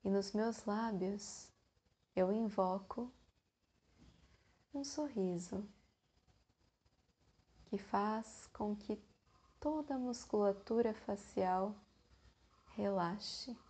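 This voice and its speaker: A woman with a Brazilian Portuguese accent leads a guided meditation in a soothing manner, leaving long pauses between phrases. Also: mid-range voice, warm tone, breathy edge.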